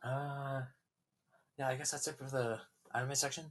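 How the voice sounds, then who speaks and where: A man's voice: medium pitch, flat delivery, normal speed, a bit bright. A young man hosting his own podcast, chatting casually and rambling with many fillers, his throat a little sore. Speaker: podcast host. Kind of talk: casual solo podcast chat.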